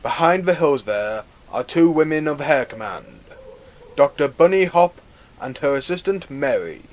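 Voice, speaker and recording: An actor playing a calm starship captain giving crisp orders in an audio drama. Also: male voice, on the high side, a little slow, a little hiss or hum, rough recording. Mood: commanding. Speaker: ship captain.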